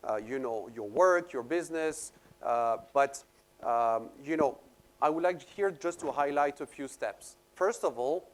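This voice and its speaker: A man's voice: mid-range voice, animated, a little slow. A man with a French accent, a native French speaker, giving a seminar presentation in an explanatory way and often repeating words as he searches for phrasing. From France.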